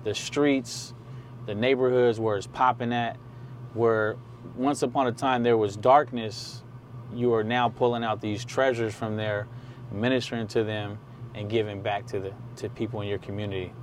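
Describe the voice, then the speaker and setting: A man's voice: fairly low pitch, little pitch movement, moderate pace, a little hiss or hum. A man interviewing a guest, chiming in often with encouraging affirmations and asking open questions. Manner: encouraging, frequent "come on" and "yes" affirmations. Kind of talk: casual conversational interview.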